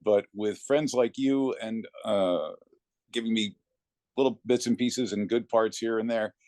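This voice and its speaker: A middle-aged or older man talks as a guest in a casual interview. His voice sits low, and the sound is very dry. He tells anecdotes about his work as a voice actor in a conversational style. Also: steady tone, measured pace, darkish tone, no room sound.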